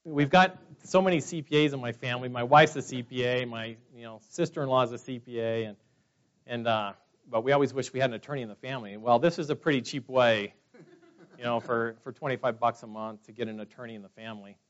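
A man's voice: medium pitch, fairly even intonation, fast talker. A man in his forties from California, telling personal stories to a meeting in a rambling, chatty way full of fillers. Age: 40s. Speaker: speaker at a business meeting. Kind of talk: casual personal testimony to a room. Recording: dull lo-fi sound.

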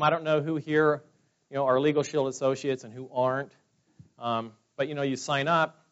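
Rambling chatty man, dull lo-fi sound. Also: a little high. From California. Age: 40s. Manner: rambling, chatty, many fillers and restarts. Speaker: speaker at a business meeting. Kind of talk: casual personal testimony to a room.

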